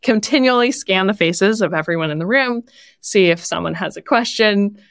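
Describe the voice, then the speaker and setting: A woman's voice: mid-range voice, normal speed, a bit bright, dry recording, studio quality. A woman speaking conversationally as an interview guest, giving practical advice as a product leader and coach. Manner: laughs lightly while talking.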